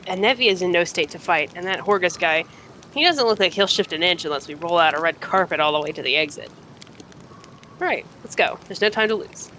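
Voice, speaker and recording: A woman's voice: on the low side, a little fast, sharp tone, slight background noise. Woman reading as an earnest horned cave fighter. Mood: earnest, apologetic. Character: earnest young horned mongrel man, frank, wry cave-dwelling fighter.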